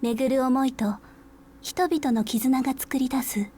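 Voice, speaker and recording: A woman acting as a gentle young woman from a visual novel, with a high voice. She speaks softly and intimately, playfully polite, over faint background noise. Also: normal speed, lightly acted. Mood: wistful, hushed.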